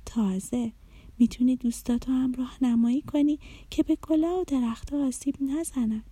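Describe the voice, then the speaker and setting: A woman voicing a kind, gentle female dream fairy in a children's story, speaking softly and tenderly. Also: fairly high pitch, breathy edge, no room sound. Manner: soft, hushed, tender.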